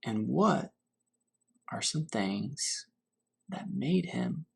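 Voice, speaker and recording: Animated male teacher reading a storybook aloud. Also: medium pitch, creaky, breathy edge. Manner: pauses to pose questions to young listeners. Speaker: teacher reading to fourth graders. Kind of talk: read-aloud storybook narration with questions to children.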